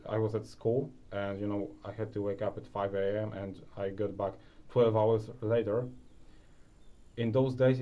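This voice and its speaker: A man's voice: on the low side, warm tone. A young man with a Polish accent, casually sharing advice as a video creator, rambling with lots of restarts and fillers. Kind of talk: casual talking-head advice.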